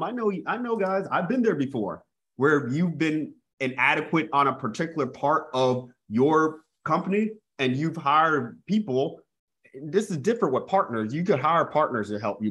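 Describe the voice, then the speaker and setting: A man in his thirties giving a live real estate coaching webinar over a video call, talking energetically and often calling out to his audience. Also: mid-range voice. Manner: energetic, repeats "guys", restarts phrases. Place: small room indoors. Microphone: earphone mic on video call.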